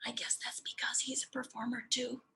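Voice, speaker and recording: A woman reading as a dejected child singer from a storybook who has lost their voice and speaks in a breathy whisper. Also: on the high side, flat delivery, brisk, bright, forceful tone. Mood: subdued.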